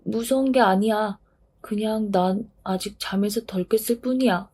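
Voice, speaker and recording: A woman's voice: mid-range voice, normal speed, mellow, husky, natural voice. A woman voicing a timid, childlike dandelion seed that has just woken up, speaking in a small pleading voice. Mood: defensive.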